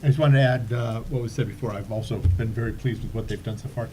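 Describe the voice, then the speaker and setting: A man chairing a village council meeting, briskly running motions and calling for votes. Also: mid-range voice, fairly even intonation, warm tone. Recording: noisy public meeting room audio.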